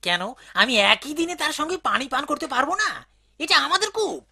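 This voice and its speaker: A woman voicing an impatient, defiant, argumentative villager in an animated cartoon. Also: high-pitched, a little fast, heavily acted. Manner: argumentative, exclamatory. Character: impatient, defiant villager demanding water and proof.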